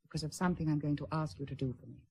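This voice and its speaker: A proud, wounded British aristocrat in a period radio play. Her voice is husky and soft-edged, sitting fairly low. She speaks formally and with care, a nervous hesitation under the poise. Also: fairly even intonation, racing, heavily acted. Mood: guarded, earnest. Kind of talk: period radio drama acting.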